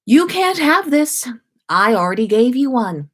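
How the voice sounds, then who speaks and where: A woman's voice: sits high, sing-song, measured pace, voice pushed up, exaggerated voice. A woman voicing a playful, doting young wizard father in a story read aloud. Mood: gently exasperated.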